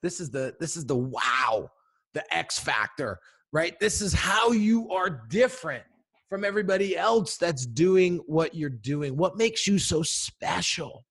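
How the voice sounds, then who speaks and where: Deep-voiced man coaching with punchy emphasis. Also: measured pace, husky. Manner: energetic, emphatic, addresses viewers directly with frequent "right?" and "okay?". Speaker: brand coach. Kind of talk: instructional video talk.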